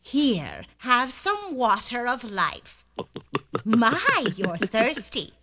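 A woman's voice: on the high side, huge pitch swings, drawn-out words, bright, forceful tone, exaggerated voice. A woman playing a sweet, encouraging young fairy in a cartoon video game, sugary, with noise and clicks behind. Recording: noisy background with clicks.

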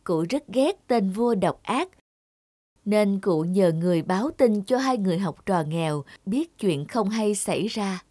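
A woman narrator reading a scripted story for an animated tale. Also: mid-range voice, unhurried, no room sound.

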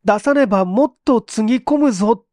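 A man voicing a threatening, forceful robber demanding money in a story reading. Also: sits high, normal speed, dry recording, studio quality, voice pushed up, natural voice.

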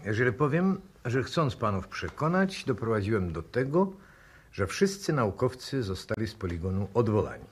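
An actor playing a grim, fatalistic professor in a 1960s radio drama, deliberate and ominous, moving between hushed and forceful delivery. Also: male voice, lowish voice, big pitch swings, breathy edge. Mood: calm, assured. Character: grim, fatalistic male professor calmly awaiting a deadly explosion. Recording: noisy old radio drama recording.

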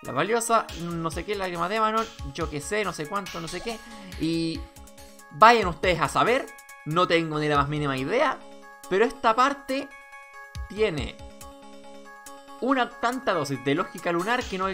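Chilean girl doing a lets play, bright voice, kinda exasperated and sweary, game music behind. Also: on the low side, animated, unhurried, long pauses. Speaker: let's player reading game dialogue aloud. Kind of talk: casual gaming commentary. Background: video game music.

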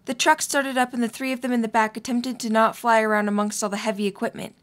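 A woman's voice: a little high, level tone, fast, fairly bright tone. A woman reading scripted story narration as the narrator of an audio drama.

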